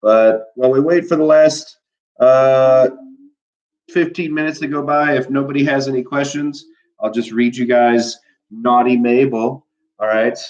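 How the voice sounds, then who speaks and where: A man's voice: medium pitch, a little mellow. A man with a stuffy nose, a teacher chatting casually from home on a live class stream, rambling with plenty of fillers. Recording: dull lo-fi recording.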